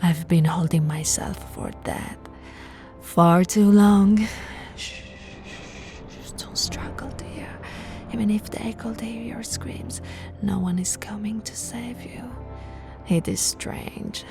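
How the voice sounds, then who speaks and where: A woman voicing a possessive, seductive vampire royal in an ASMR roleplay, teasing and often slipping into breathy whispers. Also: medium pitch, fairly even intonation, over music. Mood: menacing hush. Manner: slow, teasing, breathy whispering with soft laughs.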